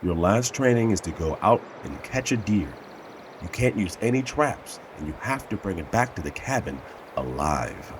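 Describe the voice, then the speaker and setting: Man playing a tough trainer, evenly low voice. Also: lively intonation, normal speed, noisy background. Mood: firm, teasing. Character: tough teasing male combat trainer. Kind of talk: audio drama acting.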